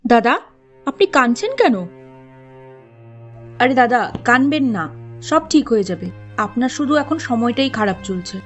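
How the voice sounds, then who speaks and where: A woman's voice: fairly high pitch, animated, measured pace, pauses often, soft background music. A woman playing a kindly, reassuring villager in a cartoon moral tale. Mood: comforting. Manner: soothing, reassuring. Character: kindly villager comforting a crying man.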